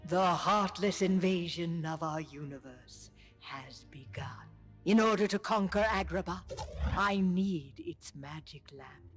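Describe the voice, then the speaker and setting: A woman's voice: on the low side, unhurried, natural voice. A woman playing an imperious evil sorceress queen in a cartoon, commanding and menacing. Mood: cold, commanding. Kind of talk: animated cartoon villain acting. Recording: music under the voice.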